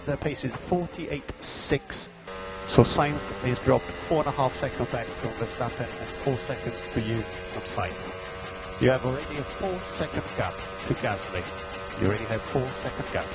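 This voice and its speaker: Man, race engineer, team radio, matter-of-fact updates, music underneath. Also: mid-range voice. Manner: steady stream of gaps, times and instructions.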